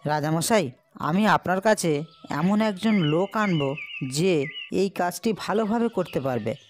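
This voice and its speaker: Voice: mid-range voice, expressive, unhurried, natural voice. A voice actor playing an eager, polite young male villager in a cartoon, with plenty of music behind. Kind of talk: cartoon voice acting.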